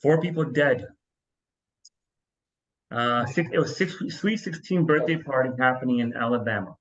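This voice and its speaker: A man's voice: mid-range voice, normal speed, fairly bright tone, slight background noise. A man hosts a casual podcast chat. He rambles and often restarts his sentences mid-thought, and his voice comes through slightly dull and muffled. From New York, US. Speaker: podcast host.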